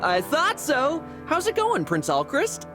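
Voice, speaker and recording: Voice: high voice, huge pitch swings, unhurried, music in the background, exaggerated voice. An actor voicing a breezy, teasing companion in a video game cutscene. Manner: casual, teasing.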